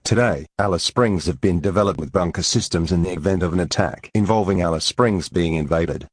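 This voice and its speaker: A man's voice: low-pitched, fairly quick, heavy background noise. A man reading scripted fictional news and alerts as an announcer, in a formal, stiff manner.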